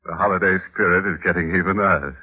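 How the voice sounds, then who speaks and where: An actor playing a gleefully morbid, mocking horror host on a 1940s radio show, theatrical and sardonic, on an old recording. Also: male voice, sits low, fairly even intonation, fast talker, breathy edge. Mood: jovial, macabre. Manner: sardonic, theatrical, macabre humour. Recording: muffled vintage radio recording.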